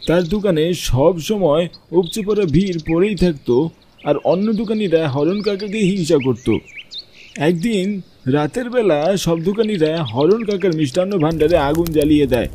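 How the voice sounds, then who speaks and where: A man voicing a kindly, pious village cleric in a cartoon story, reassuring and measured as he talks. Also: a little high, lively intonation, warm tone, a little hiss or hum, voice pushed up, natural voice. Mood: solemn recounting.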